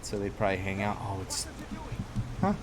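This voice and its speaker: A man's voice: on the low side, flat delivery, moderate pace, background noise. A man giving casual, improvised video game commentary, rambling in a laid-back way and chatting to the game's characters. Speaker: gaming YouTuber, host of a roleplay let's play. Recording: game music and rain behind voice. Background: loud game music, rain and thunder.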